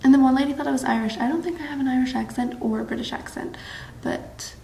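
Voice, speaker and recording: A teenage girl from Massachusetts chatting to her webcam in a bright room, rambling and bubbly with lots of fillers. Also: high-pitched, fast, gravelly. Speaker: vlogger. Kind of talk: casual chat to camera. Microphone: built-in camera mic, close webcam framing. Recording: roomy echo, faint background hiss.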